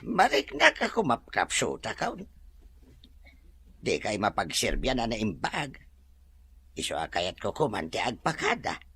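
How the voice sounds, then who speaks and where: An elderly Filipino household servant in an audio drama, bashful and full of gratitude. The voice is husky and creaky, with a sharp edge. It leaps up and down as he speaks haltingly and deferentially. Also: on the low side, wildly swooping pitch, no echo, exaggerated voice. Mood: respectful. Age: older. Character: grateful, bashful elderly manservant retiring from a mansion.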